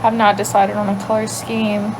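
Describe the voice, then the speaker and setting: A young woman from Louisiana with a light Cajun accent, chatting casually on a livestream and answering viewer questions, thinking aloud and trailing off into quiet asides. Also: medium pitch, little pitch movement, normal speed, noisy background. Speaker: livestream host. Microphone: phone.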